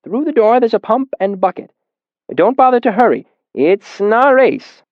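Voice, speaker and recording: A man's voice: high-pitched, lively intonation, moderate pace, no room sound, highs cut off, pitched above the performer's own voice. A man voicing a patient, tired old man. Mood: gentle, soothing. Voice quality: old and tired around the edges, patient at center. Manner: gentle, soothing, repeats words. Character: patient, tired old barefoot man caring for sick children. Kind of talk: audiobook character voice.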